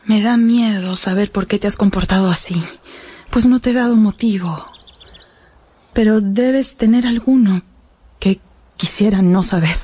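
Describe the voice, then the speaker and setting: An actress playing a shy, hurt, tender young woman in love in a radio drama, with a delicate, breathy voice. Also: medium pitch, measured pace, pauses often, dark tone, no echo. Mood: hurt, fearful.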